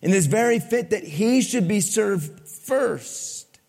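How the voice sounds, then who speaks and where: A male pastor preaching a sermon to his congregation, asking it questions and swinging between loud emphasis and soft, low lines. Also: lively intonation, takes it slowly, creaky. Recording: long hall reverb.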